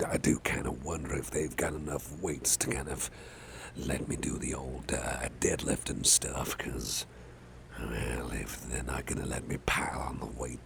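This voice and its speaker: A man playing a big, gruff but caring boss in an ASMR roleplay, murmuring gently with a gravelly, often breathy voice and soft hesitations. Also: rumbling low, background noise, full character voice. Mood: friendly, musing. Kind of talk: ASMR personal-attention roleplay.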